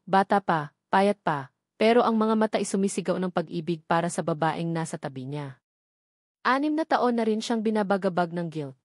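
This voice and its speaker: A woman's voice: mid-range voice, a little mellow, no echo, clean studio sound. A woman reading a sentimental love story as scripted narration.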